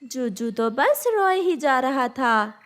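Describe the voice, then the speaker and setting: Slow, high-pitched woman storyteller. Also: very animated, a bit bright. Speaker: narrator. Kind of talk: scripted children's story narration.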